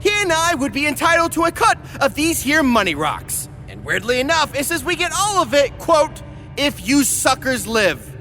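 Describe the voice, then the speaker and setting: Man as a high-pitched, cocky boyish hunter. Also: very animated, normal speed, pushed and bright, exaggerated voice. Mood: smug. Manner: boyish, eager, goofy. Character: naive, cocky, nerdy rich young male hunter. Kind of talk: comedic anime parody voice acting.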